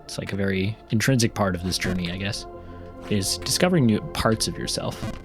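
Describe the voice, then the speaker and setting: A man with a fairly low voice talks his way through a video game, drifting between topics with frequent ums and restarts. His chatter is loose and unscripted, with the odd laugh. Faint music plays underneath. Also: fairly quick. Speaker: gaming YouTuber and novelist. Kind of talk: casual commentary while playing.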